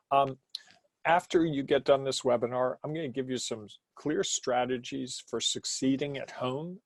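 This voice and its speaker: Man hosting a home-office webinar, loud voice reined in to a laid-back talk, dry mic. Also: mid-range voice, no room sound. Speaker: webinar presenter.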